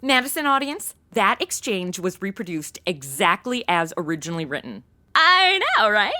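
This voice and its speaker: Woman as goofy modern sidekick, sassy casual quips, bright voice, very animated, comedy podcast drama. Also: medium pitch, moderate pace. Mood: sassy, amused. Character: slangy, goofy modern young female sidekick. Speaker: host and co-lead.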